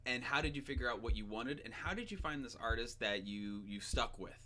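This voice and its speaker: A man's voice: medium pitch, brisk, pushed and bright. A man hosting a casual interview, asking questions and laughing along with his guest. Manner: friendly interviewer, laughs along, many short backchannels. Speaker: host.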